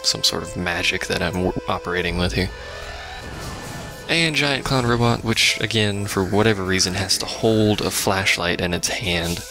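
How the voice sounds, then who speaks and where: A man's voice: low voice, moderate pace, over music. A man giving casual, dry and sarcastic commentary on a video game he is playing. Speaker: let's play commentator.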